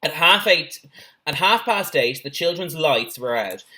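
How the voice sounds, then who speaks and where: Bright-voiced man reading a storybook. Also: fairly high pitch, a little slow, poor audio. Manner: expressive, with brief asides. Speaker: teacher reading a story to pupils. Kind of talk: animated children's storybook reading.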